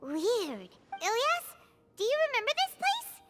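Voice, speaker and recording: A woman voicing a small, curious, childlike fairy companion in an anime-style video game, squeaky and chirpy. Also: wildly swooping pitch, unhurried, heavily acted. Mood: curious, puzzled. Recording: prominent background music.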